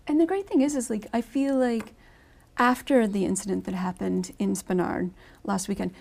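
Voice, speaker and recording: A woman's voice: mid-range voice, moderate pace, gravelly, a little mellow. A woman speaking on a radio-style panel discussion, chatty and enthusiastic, often restarting her sentences.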